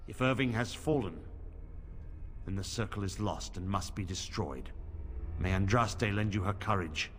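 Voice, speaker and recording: A man's voice: on the low side, moderate pace, a bit bright, slight background noise, natural voice. Male actor as middle-aged templar commander, stern, formal, grave, fantasy game, long hall reverb. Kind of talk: fantasy game dialogue acting.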